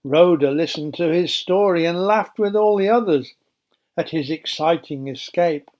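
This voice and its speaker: A man narrating a Bible story for children as a storyteller, in a simple, measured, explanatory way. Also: fairly high pitch, darkish tone.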